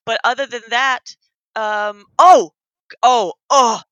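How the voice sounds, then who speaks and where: A woman's voice: a little high, slow pace, a bit bright, dry recording. Rambling, self-mocking woman guest. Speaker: podcast guest. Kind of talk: casual chat.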